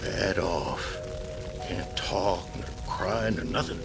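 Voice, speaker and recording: An excitable small-town fireman with a raised, very lively voice. He is urgent and folksy, in old radio drama style, with loud music behind. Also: deep voice, takes it slowly, gravelly, heavily acted. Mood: concerned.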